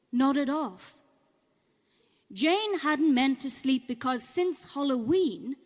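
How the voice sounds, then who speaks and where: An actress with an Irish accent playing a nervous, game audience member, haltingly reading a script aloud on stage. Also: female voice, high-pitched, full character voice. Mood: uneasy storytelling. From Ireland. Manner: hesitant, halting. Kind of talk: live storytelling performance, reading from a script. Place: on stage at a live cellar event. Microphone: stage microphone.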